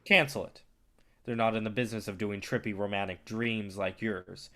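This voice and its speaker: A man's voice: on the low side, fairly even intonation, normal speed, sharp tone. Actor as cocky male movie distributor, blunt, impatient, foul-mouthed, stage play reading. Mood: blunt, persuasive.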